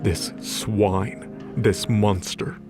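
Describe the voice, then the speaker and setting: A man serves as narrator of a scripted fiction drama. His voice is on the low side, delivering dramatic storytelling over music in the background. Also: steady tone, drawn-out words. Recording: music behind the voice.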